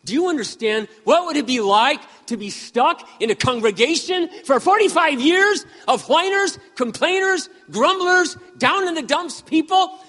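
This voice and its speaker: A man around sixty preaching a sermon with impassioned emphasis, swinging between loud peaks and quiet asides. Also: extremely high pitch, animated, moderate pace, few pauses, big-room sound. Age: 60s. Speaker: pastor.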